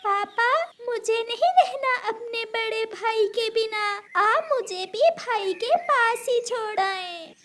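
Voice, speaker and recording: Lady doing a tearful baby bird boy for a cartoon, squeaky high, begging. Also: slow, few pauses, background noise. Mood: pleading. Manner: tearful, pleading. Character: pleading little boy chick, heartbroken younger brother.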